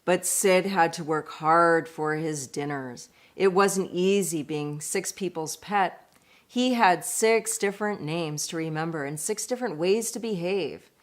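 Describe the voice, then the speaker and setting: Slow-paced woman reading a story aloud. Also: lowish voice. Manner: expressive, deliberate, explains pictures to listeners. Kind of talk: read-aloud storytelling with chatty asides. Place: indoors at home.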